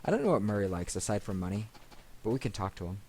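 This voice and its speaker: Low-voiced man doing gaming commentary. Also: big pitch swings, fast talker, warm tone, a little hiss or hum. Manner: rambling, thinking aloud. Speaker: let's play host. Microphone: desk microphone. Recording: music behind the voice. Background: video game music.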